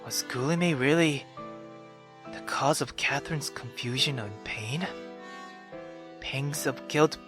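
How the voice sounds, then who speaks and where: A man's voice: mid-range voice, takes it slowly, long pauses, music in the background. A man reading a visual novel aloud theatrically while playing it, breaking into casual, sweary commentary. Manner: theatrical, expressive, swears freely in asides. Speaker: video game player reading aloud with commentary. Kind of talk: dramatic visual novel narration with casual reactions.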